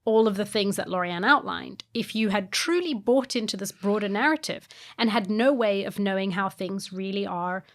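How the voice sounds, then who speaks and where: A woman raised in South Africa and Brazil co-hosting a podcast, guiding the conversation in an articulate, expressive way. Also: medium pitch, moderate pace. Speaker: podcast co-host.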